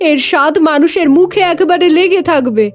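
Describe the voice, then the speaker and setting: A woman's voice: very high-pitched, brisk. A woman playing a hard-working village wife who cooks and runs a food hotel, in a cartoon dub. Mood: welcoming. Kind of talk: Bengali cartoon dubbing. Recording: music behind the voice.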